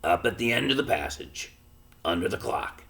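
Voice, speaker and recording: A man reading aloud and voicing a small, stubborn working-class father who speaks in a flat, expressionless way with rustic grammar. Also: low-pitched, normal speed, vocal fry, full character voice. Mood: gruff, firm.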